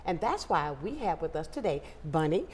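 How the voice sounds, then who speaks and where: Animated upbeat woman talk show host. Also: low voice, normal speed, big-room sound, a little hiss or hum. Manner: warm, emphatic, upbeat. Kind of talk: local morning talk show. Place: local TV studio.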